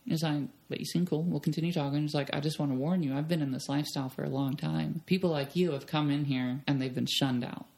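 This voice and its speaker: A woman's voice: sits low, fast talker, gravelly, few pauses. A young woman telling frank stories in a casual chat, blunt and profane. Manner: blunt, profane, animated storyteller. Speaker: podcast guest. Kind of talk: candid storytelling chat.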